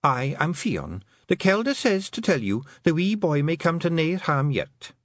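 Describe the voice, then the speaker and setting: A man's voice: fairly high pitch, very animated, a little slow, a bit bright, dry recording, studio quality, pitched above the performer's own voice. A man voicing a small, proud pixie woman who speaks formally with a Scottish accent.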